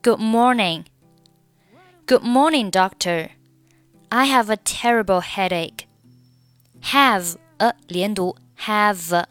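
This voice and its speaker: A woman teaching English pronunciation, reading dialogue lines and explaining sound linking with slow, careful repetition, a Mandarin speaker. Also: mid-range voice, a bit bright, clean tone, no room sound, faint music behind. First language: Mandarin Chinese.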